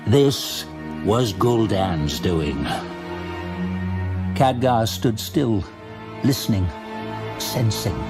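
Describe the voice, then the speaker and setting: A man giving a dramatic scripted narration of a fantasy audio drama. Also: on the low side. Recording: loud dramatic music under the voice. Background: music.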